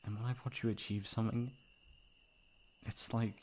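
A man playing a tender, flustered, gently teasing best friend in love, in an intimate, halting ASMR romance roleplay. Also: lowish voice, little pitch movement, natural voice. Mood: tender admiration. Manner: soft, halting, affectionate.

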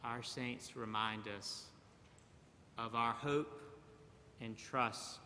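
A middle-aged man preaching a sermon from a church pulpit, slow and measured with long pauses. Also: medium pitch, steady tone, sharp tone, slight background noise. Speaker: pastor. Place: large church sanctuary, at a pulpit. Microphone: pulpit mic, far camera. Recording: church hall reverb.

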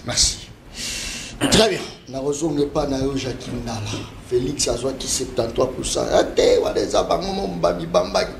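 A man with a Congolese French accent hosting a televised debate, moderating with brisk remarks in French and Lingala. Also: mid-range voice, expressive. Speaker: TV debate host. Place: TV studio. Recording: roomy studio with faint hiss.

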